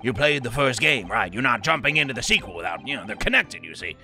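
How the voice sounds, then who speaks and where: A man's voice: medium pitch, very animated, fast, bright, forceful tone, faint music behind. A man giving casual let's play commentary, rambling and self-deprecating while reading game text aloud.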